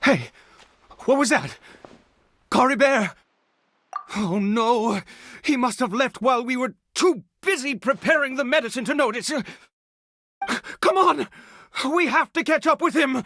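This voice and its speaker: A man's voice: extremely high pitch, lively intonation, a little slow, pauses often, bright, forceful tone, a little hiss or hum, exaggerated voice. A man voicing a weary, anxious, self-doubting ageing father in a fantasy video game, speaking hesitantly with stammers. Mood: alarmed, urgent. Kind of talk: dramatic video game voice acting. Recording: background music under the voice.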